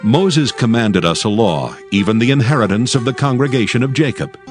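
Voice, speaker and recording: A man's voice: medium pitch, lively intonation, fairly quick, soft background music. A man narrating a dramatized scripture production in a scripted storytelling style.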